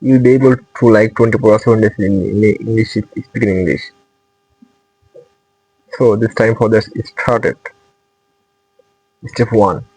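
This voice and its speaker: A man's voice: lowish voice, fairly even intonation, measured pace, pauses often, a little mellow, slightly rough, rough recording. A young man from Bangladesh whose first language is Bengali, speaking English with a Bangladeshi accent as he earnestly gives a motivational talk to his channel's viewers. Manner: earnest, repetitive phrasing in non-native English. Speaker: English-learning channel host.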